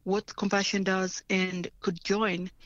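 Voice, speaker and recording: A woman's voice: mid-range voice, flat delivery, takes it slowly, dry recording. Earnest woman on a dull, dry line. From Africa. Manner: earnest, frequent restarts and "right?" checks. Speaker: guest, nonprofit leader. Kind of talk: reflective interview answers.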